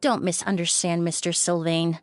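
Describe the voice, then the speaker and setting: A woman voicing a shrewd, polite perfumer in a video game, speaking in a measured, formal, probing way. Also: on the low side, flat delivery, no echo, lightly acted. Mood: calm, earnest. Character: poised female perfumer, shrewd, polite, quietly probing investigator. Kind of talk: scripted game voice acting.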